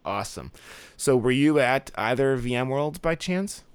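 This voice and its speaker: A man's voice: medium pitch, expressive, normal speed, clear, resonant tone, no echo. A man hosting a podcast in an upbeat, casual way, often saying "awesome" between questions. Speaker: podcast host.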